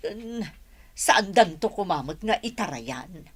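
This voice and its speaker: A woman with a Filipino accent playing a gossipy, fretting older village mother with a husky voice in an audio drama. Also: medium pitch, sing-song, takes it slowly, exaggerated voice. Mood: proud, nosy.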